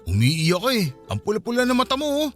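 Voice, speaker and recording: A man's voice: sits high, huge pitch swings, fast. A Filipino actor playing a playful, teasing boy next door in a radio drama. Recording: music bed behind the voice.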